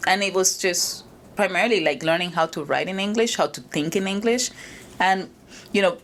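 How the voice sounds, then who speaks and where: Woman, low voice, from Venezuela, interview guest, storytelling with restarts. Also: moderate pace, fairly bright tone, a little hiss or hum. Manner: storytelling, frequent restarts and "you know". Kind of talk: conversational interview.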